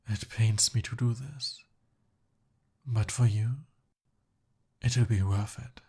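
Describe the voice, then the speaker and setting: A man's voice: on the low side, fairly even intonation, extremely slow, pauses often, darkish tone, husky, heavily acted. A man playing a pompous young human prince in an ASMR roleplay, speaking formally but flustered and pleading. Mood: desperate pleading.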